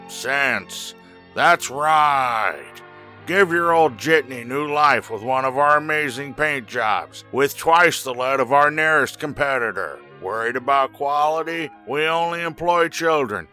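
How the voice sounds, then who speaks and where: A man's voice: mid-range voice, pushed and bright. A man playing a brazen salesman in a spoof 1930s radio commercial, with a punchy hard sell. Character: brazen, fast-selling male car-painting huckster.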